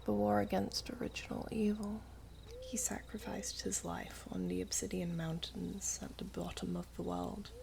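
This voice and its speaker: A woman playing an ageless, gently teasing, wistful elven maiden in an ASMR roleplay, speaking softly and half-whispered with archaic, unhurried phrasing. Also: medium pitch, big pitch swings. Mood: pensive, reverent. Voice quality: soft, breathy, half-whispered. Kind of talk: ASMR roleplay, slow intimate storytelling.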